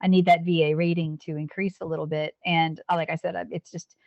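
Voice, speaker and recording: Woman, 50s, police officer and veteran; earnest, halting interview talk, lowish voice, dry recording. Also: flat delivery, darkish tone, no echo, highs cut off. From United States. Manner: earnest, halting, with frequent restarts.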